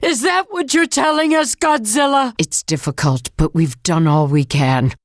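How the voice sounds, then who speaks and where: A woman leading a nation through disaster in a video game. Her voice sits fairly high, formal and solemn, heavy with responsibility and openly emotive. It is recorded dry, with little room sound. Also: expressive, moderate pace, no echo. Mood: despairing, then resigned. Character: burdened, grave woman national leader facing disaster. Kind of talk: video game voice acting, dramatic monologue.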